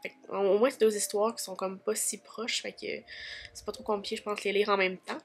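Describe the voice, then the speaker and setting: A woman's voice: mid-range voice, level tone, brisk, slight background noise. A woman in her twenties with a Québécois French accent, chatting casually in a vlog diary while stuffy from a cold, full of fillers. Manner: chatty, many fillers like "fait que" and "tu sais". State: congested from a cold. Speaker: vlogger.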